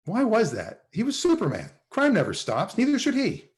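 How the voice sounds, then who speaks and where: A man's voice: sits high, huge pitch swings, moderate pace, pitched above the performer's own voice. A man playing an eager-to-please single sitcom son in his late forties at a table read. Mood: flustered, defensive. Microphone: video call.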